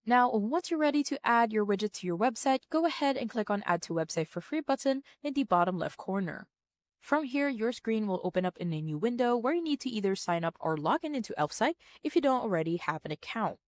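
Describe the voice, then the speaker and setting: A woman's voice: a little high, very animated, fairly quick, dry recording. Woman narrating a tutorial, very dry sound. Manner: instructional, orderly, plain-spoken. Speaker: tutorial presenter. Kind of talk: scripted step-by-step software tutorial narration.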